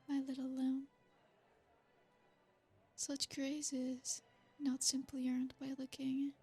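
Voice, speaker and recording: A woman voicing an ancient, possessive royal vampire in an ASMR roleplay. She speaks slowly and seductively, with soft whispered asides. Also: high voice. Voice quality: breathy, soft-spoken. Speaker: ASMR creator.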